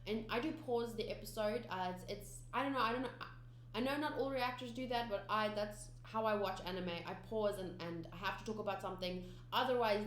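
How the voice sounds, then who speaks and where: A young South African woman whose first language is Afrikaans, chatting casually to her viewers in a rambling way with many fillers and restarts. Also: medium pitch. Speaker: anime reaction channel host. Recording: echoey room with faint background noise.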